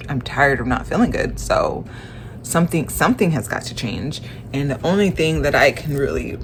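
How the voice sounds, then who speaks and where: A young woman speaks slowly in a low voice, her nose stuffy and congested. She talks in a halting, tired way, often restarting her sentences, as in a casual personal vlog. A faint room ambience sits behind her. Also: fairly bright tone, slight background noise. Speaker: vlogger.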